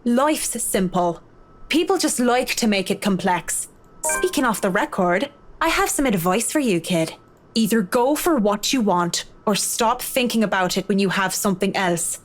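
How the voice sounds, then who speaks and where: A woman's voice: medium pitch, animated, moderate pace, soft background music, lightly acted. A woman voicing a blunt, dry-witted designer. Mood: cutting. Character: blunt, self-assured woman interior designer. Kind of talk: video game voice acting.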